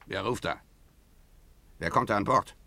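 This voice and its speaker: An actor playing a swaggering, rough, drunken sea captain in a radio play, with a theatrical manner. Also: male voice, lowish voice, a little slow, bright, forceful tone, dry recording, exaggerated voice. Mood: sly, swaggering. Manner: theatrical, swaggering, bellowing calls. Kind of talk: German radio play acting.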